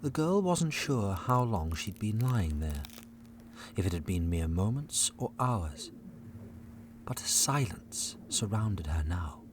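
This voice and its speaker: A man narrating a story dramatically, building suspense with short weighted phrases and pauses. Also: lowish voice, big pitch swings, a little hiss or hum. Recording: loud storm ambience behind narration.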